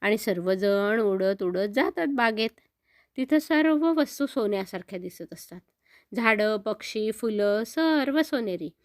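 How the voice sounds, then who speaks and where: A woman's voice: medium pitch, lively intonation, measured pace, no room sound, clean studio sound. An older woman telling a story to children in a simple, grandmotherly way, often repeating words.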